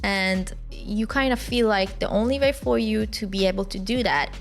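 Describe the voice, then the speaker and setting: A woman's voice: medium pitch, normal speed, vocal fry, soft background music. A woman with a Persian accent, a gaming creator answering viewer questions in a chatty, hesitant way with many fillers and restarts. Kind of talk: casual Q&A to camera.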